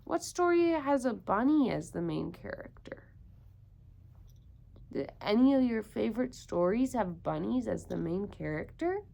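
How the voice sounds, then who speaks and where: A woman's voice: medium pitch, big pitch swings, unhurried, gravelly, dark tone. A woman doing an interactive storytime for young children, encouraging them with repeated questions. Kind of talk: interactive picture-book read-aloud for young children.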